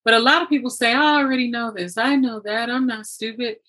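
A woman's voice: on the high side, fairly quick, bright, forceful tone. A woman hosting a conversational motivational discussion, speaking in an emphatic, affirming and instructive way. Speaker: interview host.

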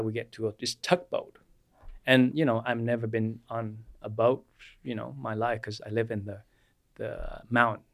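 A man in his forties from Vietnam, whose first language is Vietnamese, telling his life story as a guest chiropractor in an unhurried way with many fillers. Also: mid-range voice, fairly bright tone, no echo, clean studio sound. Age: 40s. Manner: unhurried, with frequent fillers and restarts. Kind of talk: personal storytelling in an interview.

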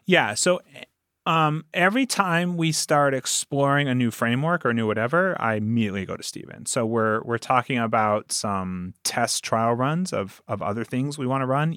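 A man's voice: medium pitch, moderate pace, a bit bright, no room sound, clean studio sound. A man speaking as a producer and guest in a conversational podcast interview, earnest and emphatic as he explains and recounts. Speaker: audio drama producer and guest.

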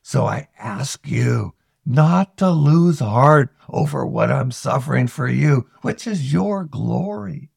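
A man reading scripture aloud in a solemn, deliberate, declamatory way with dramatic pauses. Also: mid-range voice, animated, vocal fry, a little mellow, husky, no echo, studio quality. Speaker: scripture reader.

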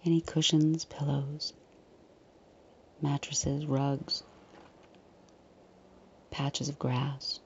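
Female meditation guide, low and mellow, soft breathy near-whisper, reassuring and unhurried. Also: flat delivery, muffled recording, slight background noise. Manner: soothing, unhurried, gently reassuring.

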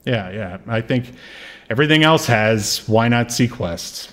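A man talks as a podcast co-host in loose, unscripted conversation. His voice sits at an ordinary middle pitch, and he works through his thoughts out loud in an informal, wandering way. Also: expressive, a little slow. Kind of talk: casual unscripted chat.

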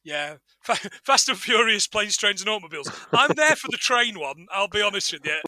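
A man's voice: high-pitched, lively intonation, brisk, sharp tone, dry recording. A man co-hosting a film podcast, recapping casually in a jokey, sardonic and sweary way.

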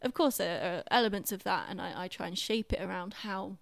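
A young woman hosts a casual conversation on a close, dry microphone. She talks informally, hedging her points and gently putting herself down as she works through her thoughts. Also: medium pitch, a little fast, gravelly, no echo. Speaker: podcast host, theatre director. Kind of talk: casual chat.

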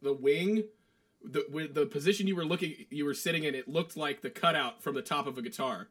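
A man's voice: a little high, a little fast. A man with an American accent chatting casually and teasingly as a co-host on a podcast.

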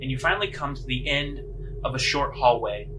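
A man working as game master, narrating a tabletop game descriptively and pausing as he finds his words. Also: mid-range voice, animated, noisy background.

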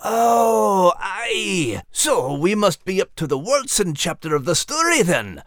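A man's voice: high voice, very animated, takes it slowly, a bit bright, dry recording, clean studio sound, exaggerated voice. A man voicing a jovial, clumsy, good-natured four-legged animal with a Scottish accent in a children's audio drama. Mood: cheerfully puzzled.

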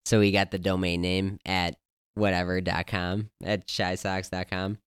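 A man's voice: sits low, flat delivery, measured pace, clean tone, no echo, clean studio sound. An American man co-hosting a sports podcast, chatting casually in a blunt, slangy, exasperated fan's way. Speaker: podcast co-host. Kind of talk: casual sports fan chat.

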